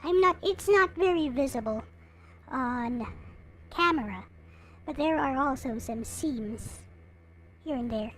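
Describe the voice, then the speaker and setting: High-pitched woman livestreamer, slow and lilting. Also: animated, a bit bright, slight background noise. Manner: chatting casually to viewers, replying to comments. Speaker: livestream host. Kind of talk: casual livestream chat showing collectible figures.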